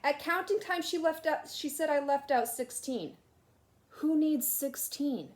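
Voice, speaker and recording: A woman's voice: high-pitched, measured pace, a little mellow, pitched above the performer's own voice. A woman reading a children's storybook as a grumpy young boy, complaining with dramatic emphasis. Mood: put out. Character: grumpy, indignant young boy narrating his bad day. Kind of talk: children's storybook read-aloud.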